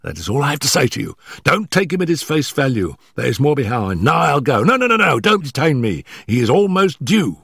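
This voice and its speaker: A man reading an audiobook, voicing a nervous male estate manager who stammers and talks in hurried, breathless bursts. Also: medium pitch, very animated, gravelly, fairly bright tone, husky, no room sound, clean studio sound, voice pushed up, full character voice. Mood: bitter, pleading.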